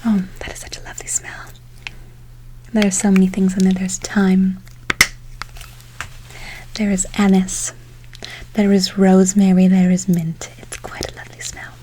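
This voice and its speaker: A woman playing a gentle, doting witch in a whispered ASMR role play, speaking affectionately and unhurriedly, often repeating herself. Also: mid-range voice, flat delivery, moderate pace, long pauses, a little hiss or hum, natural voice, poor audio. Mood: soothing, unhurried. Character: gentle, doting female witch casting good-luck spells. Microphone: close ASMR microphone.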